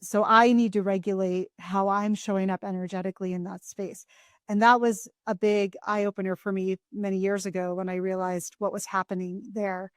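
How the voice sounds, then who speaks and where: A woman's voice: medium pitch, level tone, normal speed, clean tone, no room sound. A woman hosting an interview podcast speaks in a mid-range voice. She talks thoughtfully and reflects on her own experience. Her voice is recorded dry and close. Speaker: podcast host. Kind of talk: conversational interview.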